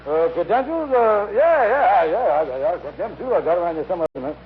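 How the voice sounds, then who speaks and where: A blustering, conniving schemer from an old radio comedy. His high voice repeats itself in self-important, ungrammatical patter. The recording is muffled with a faint hiss. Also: lively intonation, brisk. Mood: flustered bluffing. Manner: blustering, repetitive, mangled grammar.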